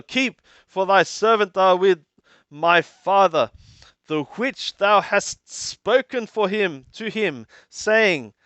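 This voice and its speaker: Higher-pitched man reading scripture aloud, smooth voice. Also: fairly bright tone, no room sound, lo-fi sound. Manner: deliberate, halting, repeats and rephrases phrases. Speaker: scripture reader and translator.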